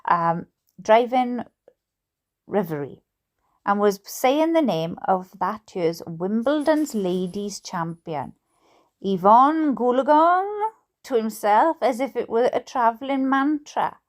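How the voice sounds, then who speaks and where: A Welsh woman reading a storybook aloud in an animated, amused manner. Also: medium pitch, takes it slowly. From Wales. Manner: animated, amused, prone to giggling. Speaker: reader of a bedtime book. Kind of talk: storybook narration read aloud.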